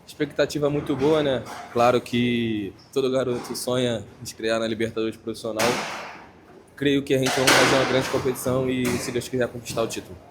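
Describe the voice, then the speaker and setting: A young man in his late teens, a footballer answering post-match questions in short, halting phrases. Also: medium pitch, fairly even intonation, heavy background noise.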